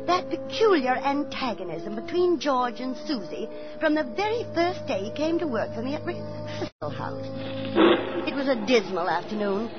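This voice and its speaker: A woman playing a fretful, kindly, middle-aged landlady and former actress in a 1940s radio drama, theatrical and expressive. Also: sits high, moderate pace. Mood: ominous recollection. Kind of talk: 1940s radio acting and first-person narration. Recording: muffled 1940s radio recording with music.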